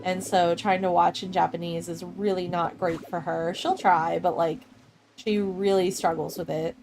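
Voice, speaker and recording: Chatty young woman gaming on stream, rambly and giggly, a bit of music playing softly behind. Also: lowish voice, little pitch movement, moderate pace, poor audio. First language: English. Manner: chatty, rambling, laughs often, reads chat aloud. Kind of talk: casual chat with live chat while playing.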